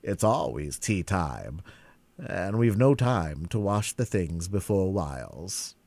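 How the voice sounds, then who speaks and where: A man's voice: sits low, big pitch swings, slow, vocal fry, darkish tone, no echo, full character voice. A man reading a storybook aloud and voicing a mournful, rambling eccentric hatter close to his own voice.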